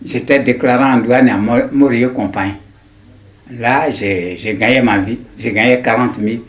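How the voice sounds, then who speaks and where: A man in his nineties with an Ivorian accent, recounting his memories in an interview in a deliberate, halting way with repetitions. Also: mid-range voice. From Ivory Coast. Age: 90s. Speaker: interviewee, writer. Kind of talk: personal recollection in an interview.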